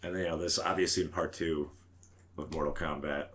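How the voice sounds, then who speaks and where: A man's voice: extremely low pitch, steady tone, fairly quick, fairly bright tone. A man co-hosting a podcast in casual chat, blunt and prone to swearing. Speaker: podcast co-host. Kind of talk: casual chat about movies.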